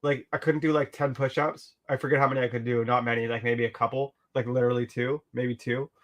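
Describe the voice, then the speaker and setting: A man's voice: mid-range voice, little pitch movement, fast talker, a bit bright. Australian man, laid-back art vlogger, rambly, filler-heavy, swears offhand. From rural Queensland, Australia. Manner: rambling, full of "like" and "um", swears casually. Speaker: artist vlogger. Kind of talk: casual chat while painting.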